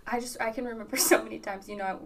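A loud, excitable woman co-hosting a casual chat, laughing often. Her voice sits a little high with a gravelly fry. Recorded in a small room on a rough, low-quality setup. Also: rapid-fire, slight background noise. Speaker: podcast co-host.

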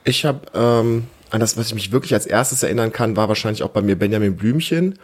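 A man's voice: lowish voice, a little fast, breathy edge. A man in his thirties or forties hosting a casual family podcast, chatting encouragingly and explaining things simply to a child. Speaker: podcast host.

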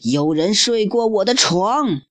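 A man voicing a stern papa bear who shouts and lectures, in a storybook reading. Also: sits high, animated, takes it slowly, no room sound, pitched above the performer's own voice. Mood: angry. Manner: loud, shouting.

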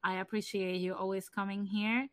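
A woman's voice: mid-range voice, flat delivery, normal speed, dry recording. A woman from Puerto Rico hosting a casual livestream chat, talking in a chatty way with many fillers. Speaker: livestream host.

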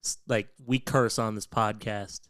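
Laid-back man with a smooth voice. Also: on the low side, steady tone, measured pace, a bit bright, dry recording, studio quality. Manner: laid-back, many fillers like "like" and "um", swears casually. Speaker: podcast host. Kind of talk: casual chat.

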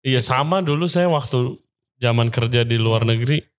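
A man's voice: mid-range voice, moderate pace. A man hosting a podcast, asking follow-up questions and giving frequent short acknowledgments. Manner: attentive, many short backchannels and follow-up questions. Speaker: podcast host. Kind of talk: casual interview chat.

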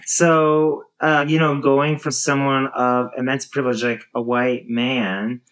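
A woman in her thirties with a low voice, talking casually and thoughtfully with many fillers and restarts. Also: flat delivery, measured pace, clean tone, low-quality recording. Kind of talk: casual conversation.

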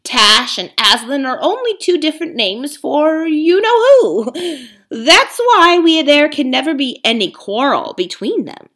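A woman's voice: sits high, very animated, unhurried, a bit bright, voice pushed up, full character voice. A woman reading aloud, voicing a scheming, domineering male ape who snarls and hisses at the other animals. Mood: hissing scornful.

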